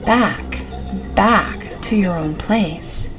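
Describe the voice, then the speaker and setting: Woman reading as a slow, commanding vampire count. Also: mid-range voice. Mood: commanding, possessive. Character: commanding male vampire count, sinister and possessive. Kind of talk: gothic audiobook character reading. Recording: music behind the reading.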